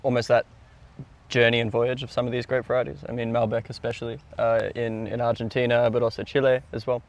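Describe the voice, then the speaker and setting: A man with a gravelly, creaky voice co-hosts a sommelier podcast in a casual conversational style. His delivery stays quite flat and even, at a medium male pitch. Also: fast, dull audio.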